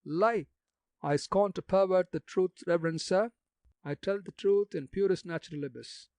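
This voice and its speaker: A man's voice: sits high, normal speed, darkish tone, no room sound, pitched above the performer's own voice. A man voicing a pompous, theatrical fat male rogue who likes Latin phrases. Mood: grandiose. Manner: grandiose, theatrical.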